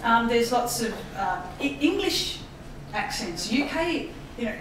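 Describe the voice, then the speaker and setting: Animated Australian woman in echoey hall. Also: on the high side, measured pace, recorded from a distance, noisy background. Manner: animated, laughs often, mimics accents. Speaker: voice actor giving a talk. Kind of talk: lively public talk with jokes. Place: embassy hall. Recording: echoey hall audio from afar.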